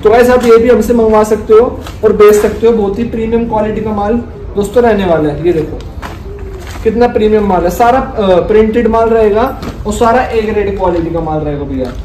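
A young North Indian man with a notably high voice pitches wholesale goods to viewers in repetitive, informal patter. He is recorded in a reverberant warehouse with background noise, the camera a little way off. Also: fairly quick, warm tone. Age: 20s. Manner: repetitive salesman's patter, addressing viewers as friends. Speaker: wholesale clothing seller and vlogger. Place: large indoor warehouse full of clothing bales. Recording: echoey room with clear background noise.

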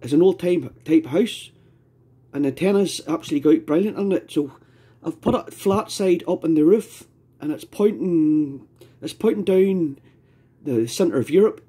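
A man's voice: a little high, animated, moderate pace, mellow, husky. A Scottish man chatting casually as an amateur radio operator, rambling and repeating his phrases. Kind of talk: casual ham radio chat.

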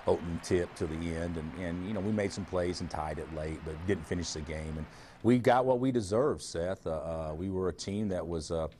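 A man's voice: low-pitched, brisk, dark tone, slight background noise. A man in his forties, a college basketball coach, answering TV interview questions frankly, often restarting and repeating words. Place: studio remote booth.

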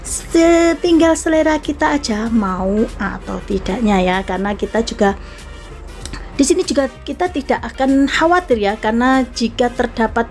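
A woman's voice: fairly high pitch, lively intonation, moderate pace, a little mellow, soft background music. A young Indonesian woman vlogging casually about a buffet restaurant, chatty and enthusiastic, talking to her followers. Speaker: food vlogger. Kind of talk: casual food vlog narration. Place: busy indoor buffet restaurant.

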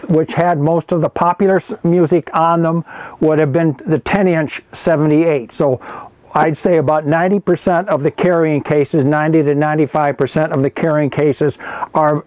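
A man in his seventies giving an informal show-and-tell as an archivist, speaking in a halting, self-correcting, explanatory way. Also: fairly high pitch, a little mellow, dry recording. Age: 70s. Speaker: archivist presenting a collection. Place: indoor archive room with packed shelves. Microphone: lapel mic.

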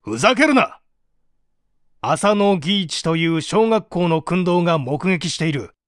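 A man's voice: high-pitched, sing-song, unhurried, no echo, studio quality. A man playing a stern, relentless prosecutor with an authoritative, pressing manner in a dramatised mystery reading. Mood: angry, accusing. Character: stern male prosecutor, relentless, accusing interrogator. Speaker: audiobook reader.

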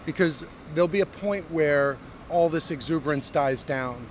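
Middle-aged American CEO, polished business pitch with filler words, outdoor street interview, traffic audible. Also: male voice, normal speed. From United States. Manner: fluent corporate pitch with frequent um and uh. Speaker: company CEO. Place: busy downtown street outdoors. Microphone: handheld mic held by interviewer. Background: city traffic.

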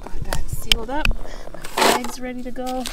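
A woman's voice: on the high side, little pitch movement, normal speed, heavy background noise. A woman in her thirties chatting casually to the camera as she vlogs an ice fishing trip, laughing easily. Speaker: outdoor vlogger. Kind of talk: casual vlog narration. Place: frozen lake outdoors, then inside an ice fishing tent.

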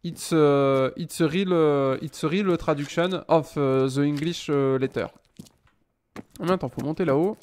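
A man's voice: fairly high pitch, measured pace, a little mellow, a little hiss or hum. A man in his thirties from France, joking with chat while playing a game at a desk mic, teasing and mock-indignant. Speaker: gaming streamer. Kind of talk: casual Let's Play commentary. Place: small room at home.

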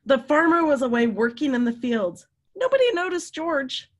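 A woman in her fifties reading a story aloud to young children, with animated, drawn-out emphasis and questions to her listeners. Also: high-pitched. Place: room at home.

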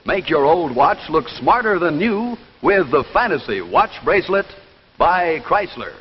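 Animated 1950s American TV pitchman. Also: measured pace. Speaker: commercial pitchman. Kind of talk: 1950s scripted television advertising. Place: television studio display counter. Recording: dull, noisy 1950s TV soundtrack.

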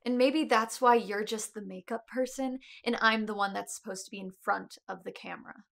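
An actress playing an ambitious, stubborn young performer, acted naturalistically for film. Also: female voice, fairly high pitch, moderate pace, creaky, a bit bright. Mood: cold, defensive. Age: 20s. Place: indoors in a wooden cabin.

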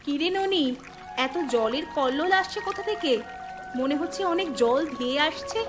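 A very high-pitched village mother frets and laments in a cartoon, voiced by a woman. She talks in anxious scolding tones. Music plays clearly underneath. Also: lively intonation, moderate pace. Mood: fretful. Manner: fretful, lamenting. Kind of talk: cartoon voice acting.

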